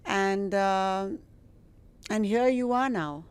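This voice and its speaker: A woman hosting a casual interview, speaking in a halting, familiar, chatty way. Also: medium pitch, clean tone. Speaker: interview host.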